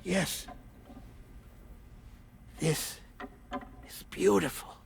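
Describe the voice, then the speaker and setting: A man playing an eccentric scientist delivering a recorded message as a theatrical monologue in a game cutscene, deliberate with sudden flourishes. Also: sits high, vocal fry, a little hiss or hum, heavily acted. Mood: playful, whimsical.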